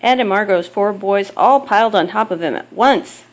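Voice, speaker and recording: Mom reading a kids picture book out loud, medium pitch womans voice, expressive measured storytelling. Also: poor audio. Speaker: mother reading a storybook aloud. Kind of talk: children's picture book read-aloud.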